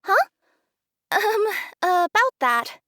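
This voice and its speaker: Chirpy flustered cartoon courier girl for a game, super high, close dry audio. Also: sing-song, very slow, sharp tone, no room sound, heavily acted. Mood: flustered, embarrassed. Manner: chirpy, earnest. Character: perky, easily flustered girlish young female courier. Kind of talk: cartoonish video game voice acting.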